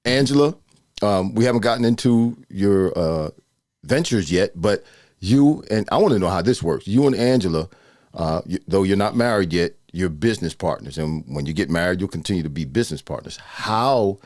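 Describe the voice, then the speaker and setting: A man in his fifties hosting a casual studio interview, loud, playful and talkative. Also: sits low, animated, normal speed, no echo. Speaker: podcast host. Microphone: studio mic.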